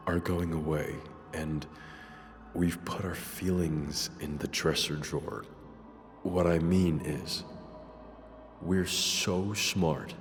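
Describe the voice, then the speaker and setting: An actor playing a brooding, philosophical detective in a scripted future noir drama, speaking in measured, formal phrases. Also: male voice, rumbling low, a bit bright, exaggerated voice. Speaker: detective character and narrator. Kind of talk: scripted noir drama dialogue and reflective monologue. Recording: long reverb, music behind.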